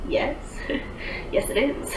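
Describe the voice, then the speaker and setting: A woman's voice: slow pace, echoey room, far from the mic, background noise. A woman in her twenties chatting casually on a livestream, rambling with lots of fillers as she answers viewer comments. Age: 20s.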